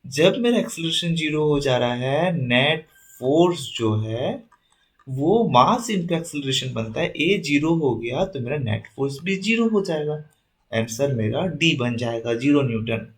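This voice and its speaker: A young man teaching an exam-prep engineering lesson with a sore throat. He reads questions out slowly and then explains them in quick asides, often checking in with his listeners. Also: medium pitch, lively intonation. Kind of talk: online exam-prep lesson, Hindi mixed with English.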